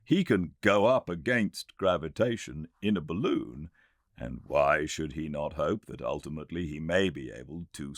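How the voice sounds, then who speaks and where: A man voicing a confident, reasoning gentleman inventor in a storybook reading, with a measured, lecturing manner. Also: low-pitched, gravelly, no echo, clean studio sound, full character voice. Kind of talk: audiobook character reading.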